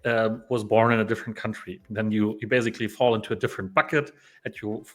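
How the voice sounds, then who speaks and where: A man's voice: on the low side, little pitch movement, quick pace, fairly bright tone. A man from Poland hosting a developer live stream, chatting casually with lots of fillers and restarts. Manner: rambling, many fillers and restarts.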